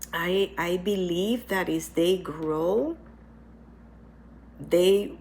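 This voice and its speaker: Slow, gravelly woman with a stuffy voice. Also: on the low side, a little mellow, slight background noise, low-quality recording. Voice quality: hesitant, with many restarts and repetitions. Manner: earnest, reflective, speaks slowly. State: stuffy from a cold. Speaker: vlogger sharing her cancer experience. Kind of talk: casual personal talk to camera.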